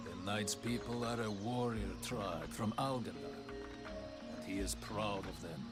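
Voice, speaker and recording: An actor voicing a proud warrior knight who always speaks of himself in the third person, in a formal manner, with game music behind. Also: male voice, on the low side, unhurried, lightly acted. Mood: solemn. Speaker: game character.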